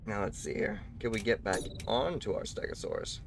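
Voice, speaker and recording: Sick, slightly raspy man chatting with viewers. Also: on the low side, huge pitch swings, fairly quick, noisy background. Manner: courteous and formal, chuckles often. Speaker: livestream host. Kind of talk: casual live-stream chat with viewers. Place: at home.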